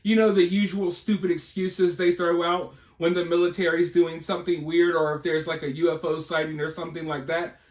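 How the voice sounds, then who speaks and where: American male commentator, high voice, roomy echo, conversational delivery, rhetorical check-ins, informal news talk. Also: little pitch movement, a little fast, hardly any pauses. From United States. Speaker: online video commentator and channel host. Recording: roomy, echoing voice recording.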